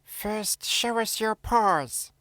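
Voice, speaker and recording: A man's voice: high-pitched, expressive, very slow, bright, forceful tone, no echo, voice pushed up, exaggerated voice. A man voicing innocent, wary young goat kids in a fairy tale, with an emphatic childlike delivery. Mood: wary, defiant.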